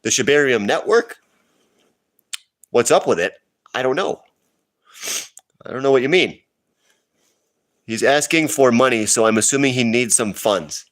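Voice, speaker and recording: A man's voice: medium pitch, animated, unhurried, pauses often, fairly bright tone. A man hosting a casual livestream, reading chat questions and answering them in a loose, rambling way while sniffling.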